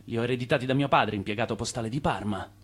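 An actor playing an effusive, charming Italian immigrant schemer and ardent suitor in a theatrical radio drama. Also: male voice, mid-range voice, very fast, natural voice. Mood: pensive.

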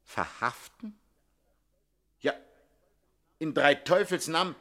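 An actor playing a charming master pickpocket and gangster in a 1960s German radio comedy, with theatrical delivery. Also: male voice, fairly high pitch, expressive, slow pace, fairly bright tone, exaggerated voice. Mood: relieved, then indignant. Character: charming master pickpocket, gangster king, indignant when cornered.

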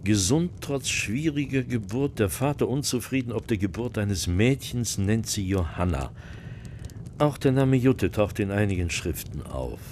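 An actor playing a dutiful, formal church investigator, a monsignor reporting in measured, factual terms in a German radio drama. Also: male voice, on the low side, animated, darkish tone, slight background noise, close to an everyday voice. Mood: dry, official.

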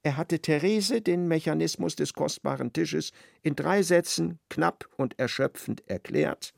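A man narrating a radio play in an ironic, expressive storytelling manner. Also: a little high, unhurried, creaky, dry recording, clean studio sound.

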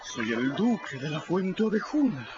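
A theatrical self-pitying fake duke. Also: male voice, a little high, very animated, measured pace. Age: 30s. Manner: theatrical, melodramatic. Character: self-pitying young swindler posing as duke. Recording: dull audio with music behind.

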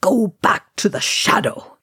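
A woman voicing a stern, defiant old male wizard in a storybook reading, with grim, drawn-out declamation. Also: on the low side, a little mellow, slightly rough, dry recording, studio quality, full character voice. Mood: commanding, defiant. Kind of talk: dramatic storybook character reading.

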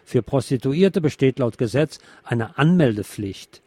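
A man's voice: mid-range voice, lively intonation, a little slow, warm tone, slightly rough, dry recording. A man reading scripted news in as a narrator. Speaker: news reader.

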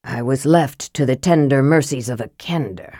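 A woman plays a frail, irritable mage from a fantasy storybook. The voice sits low and mutters with scathing, sardonic bitterness, close and dry on the recording. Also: normal speed, mellow, dry recording. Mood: bitter.

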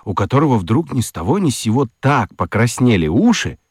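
A man narrating a children's radio play in an expressive, deliberate, wry storytelling style. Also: mid-range voice, breathy edge, no echo. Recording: dry studio with music underneath. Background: music.

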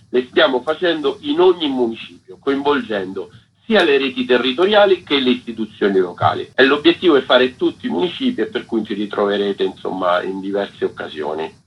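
Guy getting interviewed on radio, bit husky, dull lo-fi sound, explaining stuff. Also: medium pitch. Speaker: interview guest, researcher.

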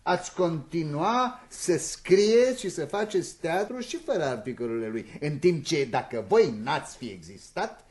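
An actor playing a jovial, urbane senior playwright in a radio comedy, speaking in an expansive theatrical way. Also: male voice, fairly high pitch, expressive, hardly any pauses, darkish tone, lightly acted. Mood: musing, emphatic. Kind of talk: Romanian radio comedy play acting.

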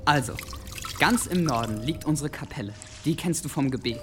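An actor playing an eager, earnest young monk who explains things carefully in a radio drama. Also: male voice, fairly high pitch, animated, normal speed, background noise, lightly acted. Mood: eager, explanatory.

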